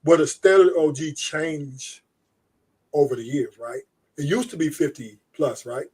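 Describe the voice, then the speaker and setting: A blunt man talking on a relaxed live stream. He swears a lot and rambles through his points. His voice sits fairly high for a man and sounds husky and a little breathy. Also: a little slow. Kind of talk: casual chat on a live stream.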